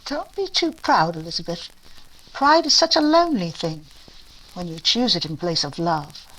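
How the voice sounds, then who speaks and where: A woman playing a gentle, heartbroken young Regency sister in a 1940s-style radio drama, sincere and plaintive. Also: lowish voice, very animated, moderate pace, a little hiss or hum, rough recording. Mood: tender, regretful. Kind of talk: recreated 1940s radio play acting.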